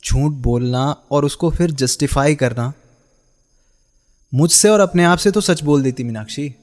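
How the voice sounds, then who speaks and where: A man's voice: on the high side, measured pace, darkish tone, close to an everyday voice. An actor playing a reserved, principled male interviewer and former lover in a radio drama, speaking with restrained earnestness. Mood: bitter, accusing.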